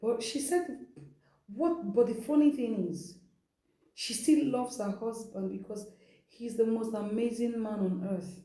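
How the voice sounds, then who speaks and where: Woman, very dark mellow tone, conversational storyteller, roomy echoing recording. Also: a little high, measured pace. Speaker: storytelling host. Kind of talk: informal storytelling from a listener's account.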